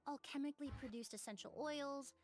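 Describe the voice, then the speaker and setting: A woman voicing a composed, analytical female investigator in a video game, measured and precise, explaining things. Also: sits high, lightly acted. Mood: calm, explanatory. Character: composed, analytical woman, a forensic perfumer sleuth. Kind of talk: scripted video game voice acting.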